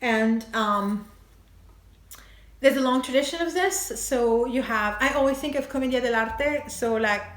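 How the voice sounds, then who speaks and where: A woman's voice: on the high side, measured pace. A woman from France, casually explaining screenwriting while thinking aloud with many fillers and light laughs. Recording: echoey room.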